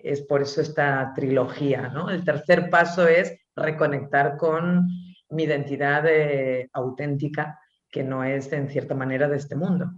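A woman's voice: deep voice, reverberant, highs cut off. Reflective woman with a Spanish accent. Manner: slow, thoughtful, with many pauses and fillers. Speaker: author and guest. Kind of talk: reflective interview answers.

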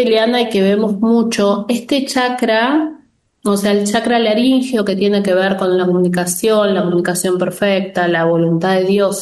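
A woman hosting a spiritual workshop, gently explaining her answers to viewer questions. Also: medium pitch, roomy sound. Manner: gentle, explanatory, drawn-out phrasing with frequent "¿no?".

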